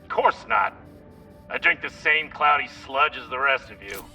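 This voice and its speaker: Forceful, gruff spaceship captain. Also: male voice, mid-range voice, animated, normal speed, recorded from a distance, faint music behind. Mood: dry, gruff. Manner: gruff, profane, barking orders. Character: brusque, commanding male captain of a scavenger spaceship crew. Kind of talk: video game character acting.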